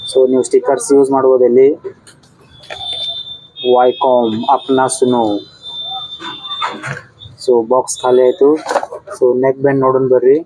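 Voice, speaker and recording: A man's voice: medium pitch, completely flat delivery, fast, dark tone, rough recording. A man with a North Karnataka accent giving a casual product unboxing and review, in a repetitive way with short exclamations. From Karnataka, India.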